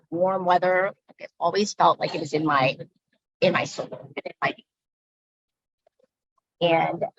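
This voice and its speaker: A woman from the Philippines, a yoga instructor, telling her personal story in a halting way with frequent pauses. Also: on the low side, fairly bright tone, no echo, highs cut off. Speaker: guest speaker, yoga instructor. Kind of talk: personal talk.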